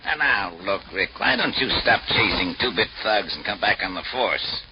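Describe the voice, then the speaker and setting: A man's voice: fairly low pitch, animated, moderate pace, close to an everyday voice. A man playing a friendly, blunt police officer advising an old colleague in a 1940s radio drama. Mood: concerned, friendly. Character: cautioning male police officer, the detective's concerned old colleague. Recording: noisy, muffled old radio recording.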